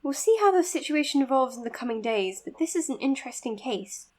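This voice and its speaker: A woman playing an earnest, modest young psychiatrist in an audio drama, speaking in a measured, precise, slightly hesitant way. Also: high voice, animated. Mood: intrigued, clinical. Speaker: doctor being interviewed on a podcast. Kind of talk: audio drama acting, natural interview and voice notes.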